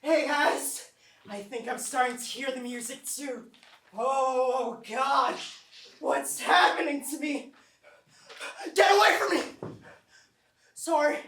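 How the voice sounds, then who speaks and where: A woman's voice: high voice, expressive, normal speed, a little hiss or hum. A woman playing a rowdy young clubgoer in a staged play reading, theatrical and exclamatory. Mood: alarmed. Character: rowdy young clubgoer named Troy, growing panicked. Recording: echoey theatre, distant mic.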